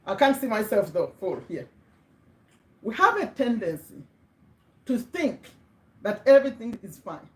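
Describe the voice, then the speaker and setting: A woman's voice: fairly high pitch, sing-song, unhurried, long pauses, a little mellow. Chatty Ugandan woman, echoey room. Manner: chatty, checks in with viewers, repeats words for emphasis. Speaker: livestream host. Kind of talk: casual motivational livestream.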